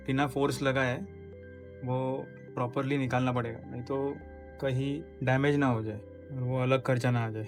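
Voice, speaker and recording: Man giving a casual step-by-step tutorial. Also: medium pitch. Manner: step-by-step explaining, giving tips. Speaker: motorcycle vlogger. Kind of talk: casual hands-on installation tutorial.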